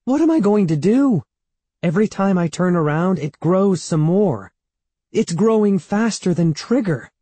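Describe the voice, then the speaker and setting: A man's voice: on the low side, takes it slowly, darkish tone, slightly rough, no room sound. A man voicing an anxious, impatient young boy with a snappy, wailing manner in a children's storybook. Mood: wailing, desperate. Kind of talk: children's audiobook character voice.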